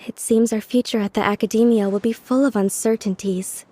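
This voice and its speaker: A woman's voice: on the high side, steady tone, brisk, mellow, no echo, lightly acted. An actress playing an anxious female scholar who speaks in a worried, cautious way. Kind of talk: video game voice acting.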